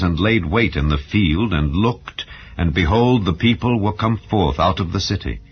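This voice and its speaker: Male narrator for dramatized Bible audio: very low pitch, solemn, measured, slightly dull recording. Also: a little hiss or hum. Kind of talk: dramatized scripture reading. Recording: dull, muffled lo-fi sound.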